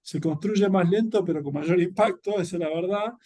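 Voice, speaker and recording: A man's voice: sits high, moderate pace, mellow. An Argentine man speaking earnestly and reflectively as an interview guest, explaining his work. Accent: Argentine Spanish. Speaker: interview guest, social entrepreneur and educator.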